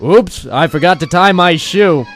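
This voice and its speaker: Radio comedy stuntman male actor: bold, foolhardy, fairly high voice with clear music underneath. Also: a little slow. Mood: cocky. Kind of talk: comic old-time radio skit acting.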